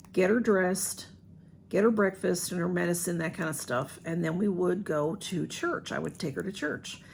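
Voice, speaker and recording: A woman with a Southern US accent speaks casually to her camera at home, her voice a little nasal and stuffy. There's a gravelly, fry-touched edge as she rambles along in a soft, heartfelt way, and faint noise sits under the recording. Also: medium pitch. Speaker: vlogger.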